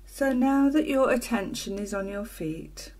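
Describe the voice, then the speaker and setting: Female meditation teacher, gentle and breathy-soft, very unhurried, deliberate phrasing for guided relaxation. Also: fairly high pitch, animated. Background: ocean waves sound loop.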